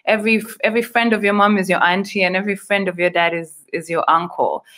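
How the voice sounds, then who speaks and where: A woman's voice: mid-range voice, steady tone, quick pace, fairly bright tone. A young woman from Uganda, a guest on a video call interview, friendly and explaining at length. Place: small room indoors. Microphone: video call webcam.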